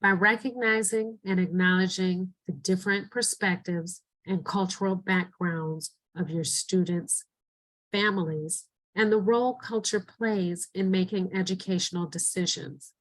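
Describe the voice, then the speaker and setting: A woman's voice: fairly low pitch, fairly even intonation, measured pace, a bit bright, poor audio. Earnest middle-aged woman presenting training. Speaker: educator and presenter. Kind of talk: scripted training narration.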